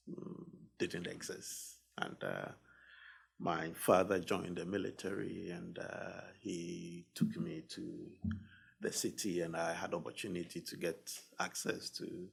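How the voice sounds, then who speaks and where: Man from Ghana, very low gravelly voice, husky, slow measured talk with fillers. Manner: measured, many pauses and fillers. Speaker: guest professor. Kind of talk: conversational interview.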